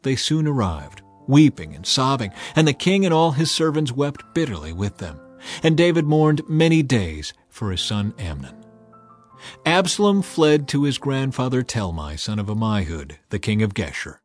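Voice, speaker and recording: A man reading scripture as an audiobook narrator, in a measured, expressive, dramatized storytelling style. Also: medium pitch, soft background music.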